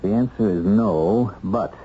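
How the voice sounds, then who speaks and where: Earnest, low-voiced ex-convict. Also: male voice, unhurried, warm tone, husky. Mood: earnest, intent. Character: earnest, wry ex-convict husband, hunting for the truth. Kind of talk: radio drama acting. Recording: dull, noisy old radio recording.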